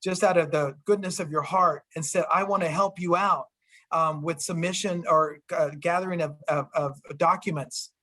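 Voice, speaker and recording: A government official answering a question in an earnest, storytelling way, with frequent restarts. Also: male voice, on the high side, steady tone, normal speed, pushed and bright. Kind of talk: virtual town hall talk.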